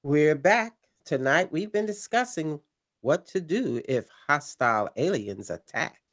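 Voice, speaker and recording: A man playing an earnest late-night pirate radio host with a measured, showmanlike delivery in an audio drama. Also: on the high side, animated, a bit bright.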